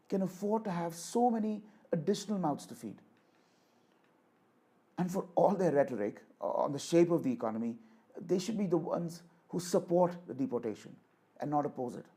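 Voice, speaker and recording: A man with a husky, mellow voice pitched a little high delivers a political speech. He is declamatory and deliberate, framing points as rhetorical address and leaning on repetition for emphasis. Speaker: orator reading a voice sample. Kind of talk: rhetorical political speech, rehearsed with repeated takes.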